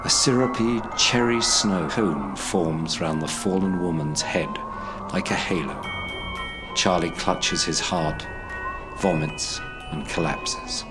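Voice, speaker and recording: A man narrates a drama script in a deep, low voice. Loud music plays behind his scripted delivery. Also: slow.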